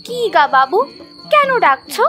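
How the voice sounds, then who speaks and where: A woman playing a young, artless, eager village servant girl in an audio story, with a girlish, expressive delivery. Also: very high-pitched, takes it slowly, soft background music, exaggerated voice. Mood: artless, questioning. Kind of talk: Bengali audio story acting.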